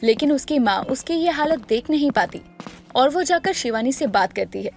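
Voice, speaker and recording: High-pitched woman storyteller. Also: lively intonation, normal speed, soft background music. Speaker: narrator. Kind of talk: scripted story narration.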